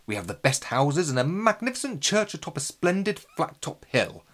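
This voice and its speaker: A man's voice: a little high, sing-song, moderate pace, a bit bright, pitched above the performer's own voice. A man voicing a boastful, villainous lord of the manor in a storybook, proclaiming grandly. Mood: pompous.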